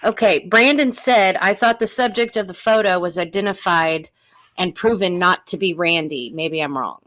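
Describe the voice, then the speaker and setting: Woman live-stream host with a lowish voice, chatty, reading viewer questions aloud. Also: normal speed, fairly bright tone. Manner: chatty and encouraging, says "y'all".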